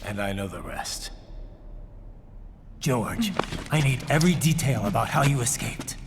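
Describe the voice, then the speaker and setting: An actor playing a stern, brooding cyborg warrior in a video game cutscene. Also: male voice, mid-range voice, sing-song, a little slow, vocal fry, noisy background. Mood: urgent. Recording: long reverb, music behind.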